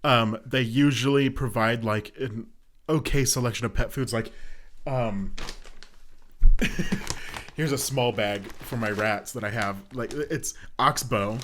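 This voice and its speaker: A man's voice: mid-range voice, takes it slowly, pauses often, fairly bright tone. A young man hosting a casual podcast, talking in a loose, rambling way full of fillers and frequent laughter. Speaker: podcast host. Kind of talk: casual chat.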